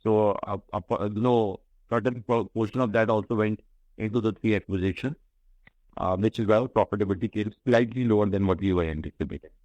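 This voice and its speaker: A man with an Indian accent answering questions on a business conference call in a measured, somewhat hesitant, explanatory way. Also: lowish voice, darkish tone, no echo, dull audio. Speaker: company executive answering questions on an earnings call. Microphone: conference call line, close and dry.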